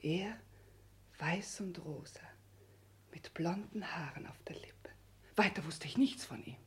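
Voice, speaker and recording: An actress playing a naive, tender young bride in a 1960s German radio drama, intimate and often breathy. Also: female voice, lowish voice, measured pace, in a whisper, natural voice. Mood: dreamy.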